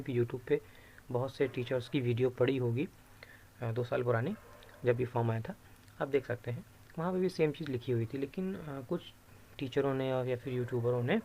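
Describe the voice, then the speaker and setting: A man's voice: medium pitch, brisk, darkish tone, a little hiss or hum. A man presenting an informal screen-share explainer, explaining patiently by repeating and rephrasing key points. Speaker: YouTube presenter explaining recruitment rules.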